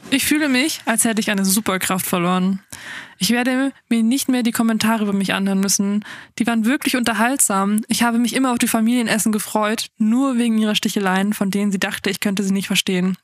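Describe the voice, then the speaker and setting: A young woman whose first language is Afrikaans, co-hosting a podcast, chatting casually and reading a funny story aloud with a giggly, relaxed manner. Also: mid-range voice, fairly quick, dry recording. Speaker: podcast co-host.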